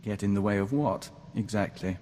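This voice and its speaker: A man's voice: fairly low pitch, normal speed, mellow, natural voice. A man playing a serene diplomat joined to an insect hive mind in a game, speaking formally and with measure, calling himself "we.". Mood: polite, curious. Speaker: game companion character. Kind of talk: scripted game dialogue acting. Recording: reverberant hall, clear background noise.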